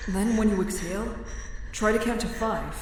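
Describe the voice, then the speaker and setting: A woman acting a caring, steady young companion in an audio drama, reassuring and coaxing in a measured way. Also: mid-range voice, a crowd in the background, lightly acted. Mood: gentle coaching. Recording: very echoey space with faint noise.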